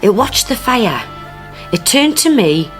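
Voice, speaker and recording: An actress playing a wary, troubled woman who witnessed an arson, speaking bluntly and haltingly. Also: on the high side, very animated, faint music behind, rough recording. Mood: uneasy, guarded. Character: troubled working-class woman haunted by a unicorn vision. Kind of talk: radio drama acting.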